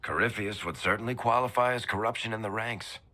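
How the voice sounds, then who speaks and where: A grave companion in a fantasy game. His lowish voice has a bright, forceful edge, and he speaks in a dry, measured way.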